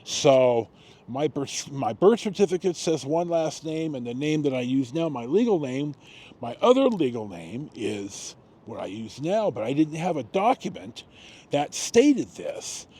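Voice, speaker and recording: A man in his sixties with an American accent, telling personal stories casually, in a halting, rambling way with frequent pauses and repetitions. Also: on the high side, sing-song, warm tone, slightly rough. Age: 60s. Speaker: storytelling vlogger.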